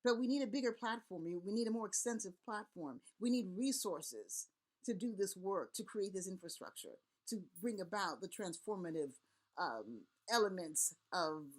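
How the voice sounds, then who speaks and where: Middle-aged woman from haiti, a priest and elder, low-ish voice, earnest rallying talk, piles up lists. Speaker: Vodou priest and community elder. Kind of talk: impassioned spoken address to camera.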